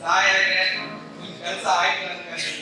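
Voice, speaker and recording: A man playing a scheming village middleman in an amateur stage play, loud, declamatory and bargaining. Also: high voice, level tone, fairly quick, lightly acted. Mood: commanding, sly. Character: scheming male village middleman brokering farmland. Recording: echoey hall, distant mic, music behind.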